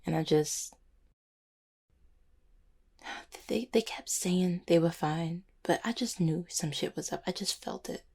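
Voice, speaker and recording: A woman plays an anxious, guilt-ridden cupid with a low-set, gravelly voice. The delivery is slangy and halting, full of swearing and raw feeling, in an intimate audio drama style. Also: mellow, exaggerated voice. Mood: uneasy, subdued, then shaken, halting. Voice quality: husky, gravelly. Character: anxious, guilt-ridden cupid who helps clients, streetwise. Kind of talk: intimate audio roleplay acting.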